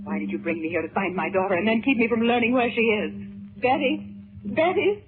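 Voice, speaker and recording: A woman's voice: fairly high pitch, brisk, lightly acted. Anguished well-bred mother played by an actress, theatrical and imploring, old radio serial on a thin vintage recording. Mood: fearful, worried. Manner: breathy theatrical exclamations, pleading. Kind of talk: 1930s radio serial acting. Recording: scratchy tinny 1930s radio recording.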